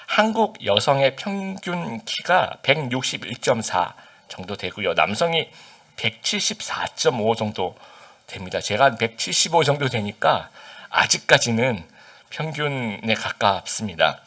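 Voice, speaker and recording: A man's voice: mid-range voice, big pitch swings, measured pace, bright, forceful tone. A man who is a pastor from Busan, with a slight Busan accent under standard Seoul speech, giving a conversational sermon full of fillers and questions to the congregation. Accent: slight Busan dialect under standard Seoul Korean. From Busan, South Korea.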